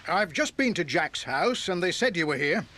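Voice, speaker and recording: An earnest policeman with a high, lively male voice, making inquiries in a children's audio drama. The old cassette adds a faint hiss. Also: normal speed, full character voice. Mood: polite, inquiring. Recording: hissy old cassette recording.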